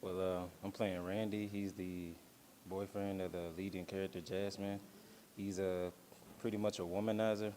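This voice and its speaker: A man with an American accent speaks in a deep, level voice. His interview answers are casual and colloquial, with faint noise in the background. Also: flat delivery, moderate pace, mellow. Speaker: stage actor being interviewed. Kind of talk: casual chat.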